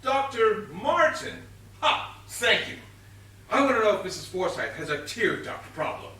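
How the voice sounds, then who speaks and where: Pompous, excitable comedy inspector, male stage actor, bright emphatic delivery, recorded far off in an echoey theatre. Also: high-pitched, unhurried, exaggerated voice. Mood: probing. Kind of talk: stage comedy murder mystery acting. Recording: roomy distant stage recording, noisy.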